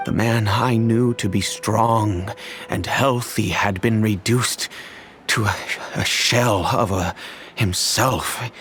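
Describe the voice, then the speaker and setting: An actor playing a dignified, wealthy older father and head of a noble family, speaking formally and gravely in a video game. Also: lowish voice, steady tone, slow pace, a bit bright, soft background music. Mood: reflective storytelling. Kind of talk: scripted video game dialogue.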